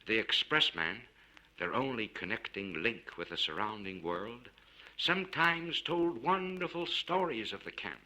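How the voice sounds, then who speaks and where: A man reading a literary story aloud in a measured, theatrical and expressive way, recorded for a vintage spoken-word LP. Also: lowish voice, low-quality recording. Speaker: audiobook narrator.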